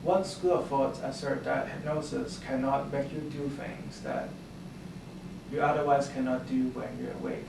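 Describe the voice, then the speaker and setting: A man's voice: mid-range voice, steady tone, moderate pace, vocal fry. A man interviewing a guest, rambling and restarting his questions. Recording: echoey room, far from the mic, noisy.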